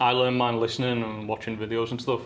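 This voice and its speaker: A man's voice: mid-range voice, little pitch movement, fast. A man hosting a podcast, talking in an eager, chatty way full of slang. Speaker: podcast host. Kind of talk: casual chat.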